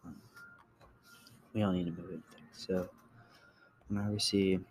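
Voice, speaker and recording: Low-voiced man talking through a tutorial. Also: flat delivery, slight background noise. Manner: halting, thinking aloud while working. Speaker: tutorial creator. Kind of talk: casual screen-recorded software tutorial.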